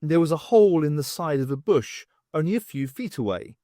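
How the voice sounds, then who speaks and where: A man reading a scripted story as an audiobook narrator, with expressive delivery. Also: on the high side, a little fast, no room sound, studio quality.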